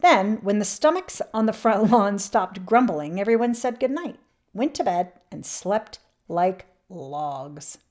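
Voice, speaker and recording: A woman reading a children's picture book aloud expressively, with emphatic swells and hushed drops. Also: medium pitch, expressive, slow pace. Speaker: children's read-aloud channel host.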